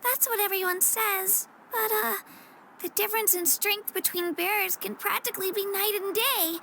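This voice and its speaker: A woman's voice: extremely high pitch, fairly even intonation, moderate pace, sharp tone, slight background noise, full character voice. A woman voicing an earnest, modest young warrior girl in a video game, youthful and a little hesitant. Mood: resigned, humble. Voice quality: youthful, girlish. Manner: earnest, self-effacing, hesitant. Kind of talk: video game character voice acting.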